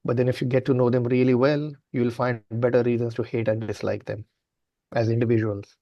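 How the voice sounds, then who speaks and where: A man's voice: mid-range voice, little pitch movement, brisk, dry recording, dull audio. A man from India who works as a comedian and show producer, talking in a casual panel discussion.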